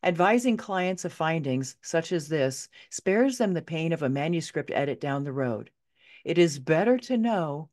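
A middle-aged woman narrating her own memoir as an audiobook, expressive and conversational, with wry asides. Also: deep voice. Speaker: author reading own book.

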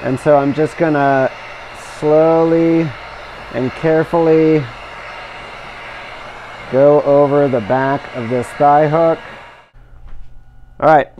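Middle-aged guy explaining how to fit gear, careful and measured, clean voice a bit on the high side. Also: a little mellow. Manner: careful, measured explaining while working with his hands. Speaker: instructor demonstrating kayak outfitting. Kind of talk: step-by-step how-to demonstration.

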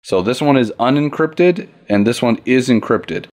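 A man's voice: mid-range voice, lively intonation, normal speed, a little mellow, no echo. A man hosting an instructional tutorial, explaining things step by step in an informal, plain-spoken way. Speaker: tutorial host. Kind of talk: casual instructional demo, step-by-step.

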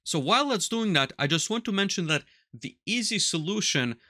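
A man in his thirties walks through a software tutorial step by step, his voice bright and a little higher than most men's. He talks methodically into a headset mic in a small workshop. The sound is close and dry. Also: lively intonation, fairly quick, no room sound. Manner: explanatory, methodical, walks through steps aloud. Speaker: automation instructor. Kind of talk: screen-recorded software tutorial. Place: small workshop room with control panels.

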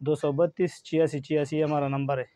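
A man's voice: fairly high pitch, little pitch movement, fairly quick, mellow. A man who sells used car AC parts, giving his shop addresses and phone number one item at a time. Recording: dull lo-fi audio.

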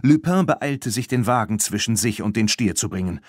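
A man narrating a children's audiobook in an expressive, scripted storytelling style. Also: on the low side, moderate pace, slightly rough, no room sound, clean studio sound.

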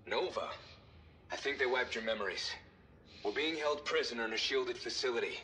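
An actor playing a gruff, evasive soldier in a video game, clipped and colloquial. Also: male voice, medium pitch, expressive, moderate pace, sharp tone, slight background noise. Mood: wary. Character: gruff, evasive male soldier, fellow covert operative.

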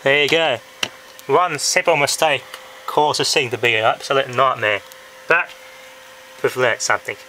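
A man with a mid-pitched voice talks through a hobby electronics demonstration in a casual, halting way, as if working it out aloud. The sound is a homemade handheld camera recording, with clear noise and clicks behind him. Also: bright, forceful tone. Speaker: hobbyist presenter. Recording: noisy amateur handheld camera audio with clicks.

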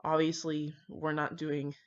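A woman's voice: low voice, level tone, measured pace, highs cut off. A young woman chatting casually and rambling to her viewers in an irreverent, foul-mouthed, self-mocking manner. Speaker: host of a fan fiction reading channel.

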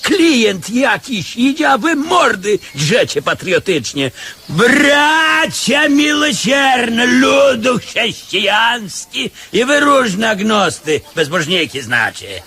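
An actor playing a wily, pompous church beggar in a radio comedy, declamatory, wheedling and mock-pious. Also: male voice, very high-pitched, big pitch swings, slow pace, sharp tone, breathy edge, exaggerated voice. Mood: loud, wheedling. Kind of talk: radio comedy play acting.

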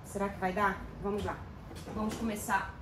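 A woman's voice: medium pitch, little pitch movement, normal speed, background noise. Chatty woman tidying her kitchen. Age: middle-aged. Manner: chatty, addresses viewers as "gente", uses many diminutives. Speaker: home organizing vlogger. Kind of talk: casual chatty narration while tidying. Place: home kitchen.

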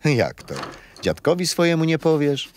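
A man's voice: a little high, sing-song, moderate pace, slight background noise. An actor playing a kindly, patient old grandfather who tells history to his grandchildren in a children's radio play. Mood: affectionate, curious. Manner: gentle storyteller, explaining patiently.

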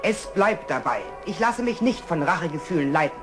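A man's voice: a little high, lively intonation, brisk, lightly acted. An actor playing a noble, peace-loving young male lion, earnest and resolute, in a children's audio drama. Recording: music bed under the dialogue.

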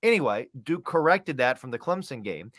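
Man in his thirties, medium pitch, dry close sound, sports announcer, emphatic conversational analysis. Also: sing-song, moderate pace, fairly bright tone, no room sound. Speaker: radio play-by-play announcer, podcast guest. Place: indoor room, on a video call.

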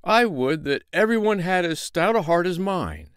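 A small, boastful tailor who panics easily, shrill and breathless, put on by a man reading a story. His voice darts high and all over the place, with a smooth, clear tone and a dry, close sound. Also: animated, moderate pace, fairly bright tone, dry recording.

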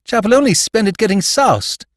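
A man's voice: sits high, expressive, normal speed, dry recording, clean studio sound, voice pushed up. A man voicing a silly young lord with an airy, chatty, foppish manner in a storybook. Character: silly breezy young English lord, idle man-about-town.

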